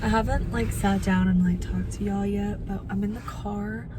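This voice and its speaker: Husky young woman over loud traffic. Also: steady tone, moderate pace. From New Jersey. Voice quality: husky, lowered voice. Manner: chatty, bubbly, filler-heavy with lots of "like". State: hoarse after losing her voice. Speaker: vlogger. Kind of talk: casual travel vlog. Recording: loud traffic and street noise.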